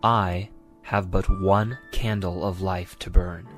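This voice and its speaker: Low, slow male reader over music. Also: fairly even intonation, a bit bright. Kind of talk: dramatic scripted quotation reading.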